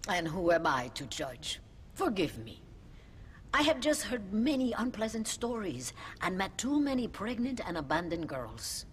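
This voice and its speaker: A woman playing a pious, sharp-tongued mother superior nun in a video game. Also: mid-range voice, sing-song, measured pace, a little hiss or hum. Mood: stern. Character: stern middle-aged Mexican mother superior nun. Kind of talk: video game cutscene acting.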